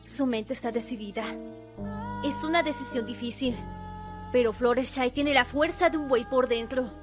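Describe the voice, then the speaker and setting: A woman's voice: high-pitched, over music, natural voice. A woman voicing a somber, firm female earth pony in a cartoon fandub. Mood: somber, resolute. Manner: sombre, slow.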